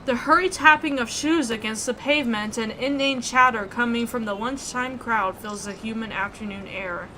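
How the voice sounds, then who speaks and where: A woman narrating a horror visual novel in the first person, reading with expressive, suspenseful delivery. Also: fairly high pitch, normal speed, few pauses, slight background noise, poor audio.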